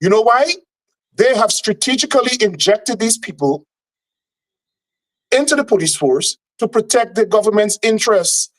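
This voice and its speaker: A man giving forceful, indignant commentary as a guest on a call-in show. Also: sits high, lively intonation, normal speed, rough recording.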